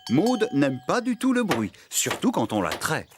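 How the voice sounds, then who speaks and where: Animated male children's story narrator. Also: on the high side, unhurried, background noise.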